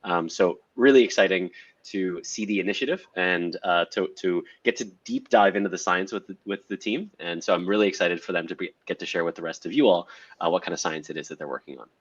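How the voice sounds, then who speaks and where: A man's voice: low-pitched, fairly quick, husky. A man co-hosting an online AMA, talking in an upbeat, enthusiastic way on a video call. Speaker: co-host, science lead. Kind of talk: casual AMA chat. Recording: dull lo-fi audio.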